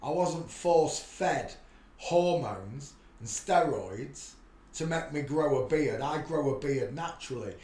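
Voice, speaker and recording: A man's voice: fairly high pitch, expressive, slow. Rambling preachy man in an echoey room. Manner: preachy, rambling, with frequent restarts and loud outbursts. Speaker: livestream host. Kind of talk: rambling live-stream monologue.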